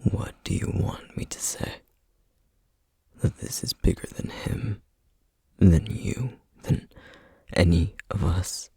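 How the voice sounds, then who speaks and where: Man acting a low, whispering, solemn flame demon. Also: darkish tone, dry recording, heavily acted. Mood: stern, resigned. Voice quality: breathy, husky whisper with gravelly edges. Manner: slow, halting, measured delivery with heavy pauses. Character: solemn, weary male flame demon bound by duty. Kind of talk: ASMR roleplay acting, whispered. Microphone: close microphone, dry sound.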